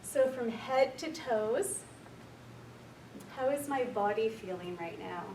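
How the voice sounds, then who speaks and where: A woman counsellor with a quiet voice leading a slow, gentle guided meditation for a workshop audience. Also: a little high, animated, background noise. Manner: soft, slow, gentle and unhurried. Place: large echoey room with an audience. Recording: echoey room recorded from far off.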